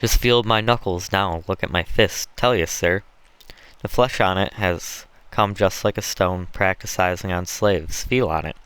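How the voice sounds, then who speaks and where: A man's voice: sits low, a little slow, fairly bright tone, smooth, dry recording. A man voicing a coarse, boastful Southern slave owner in an audiobook, with blunt, folksy dialect.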